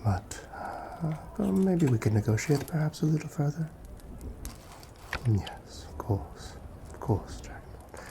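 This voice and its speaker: A man playing a corrupt, wheedling town guard in a fantasy ASMR roleplay, speaking softly and often dropping to a whisper, insinuating and mock-polite. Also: a little high, big pitch swings, a little slow, gravelly, pauses often, noisy background, full character voice.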